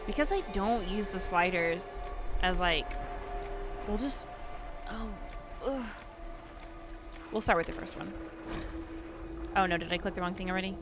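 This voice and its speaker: Chatty woman gamer over loud music. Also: medium pitch, animated, a little slow, long pauses. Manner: chatty, thinking aloud. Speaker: gaming video host. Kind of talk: casual let's-play commentary.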